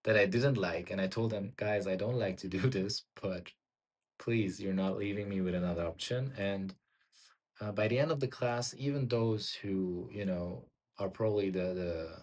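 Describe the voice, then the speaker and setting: A man's voice: low-pitched, normal speed, a little mellow. A young German man, a native German speaker and music teacher, talking casually in a vlog, hesitant with many fillers and restarts.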